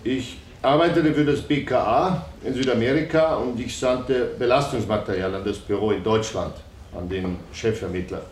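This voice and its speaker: A man's voice: medium pitch, normal speed, natural voice. An actor voicing a male police informant, giving short matter-of-fact answers under questioning in a documentary reenactment. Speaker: informant testifying in court. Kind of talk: documentary reenactment of court testimony. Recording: echoey room with faint noise.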